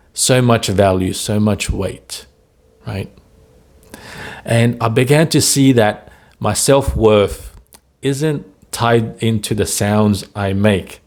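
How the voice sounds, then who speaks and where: Slow, deliberate low-voiced man. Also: creaky, darkish tone. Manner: slow, deliberate delivery with frequent pauses and "right?" tags. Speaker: stutter coach. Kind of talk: motivational talk to camera.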